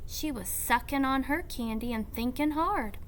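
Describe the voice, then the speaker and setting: A woman reading a storybook aloud as a teacher, with deliberate, animated and expressive narration. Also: sits high, a little hiss or hum, low-quality recording.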